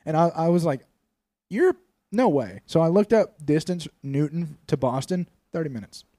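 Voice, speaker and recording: Young male podcast co-host, raunchy banter, mellow tone, dry close mic. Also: fairly high pitch, lively intonation, moderate pace, no echo. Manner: profane, joking, tries silly accents. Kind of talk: crude comedic banter.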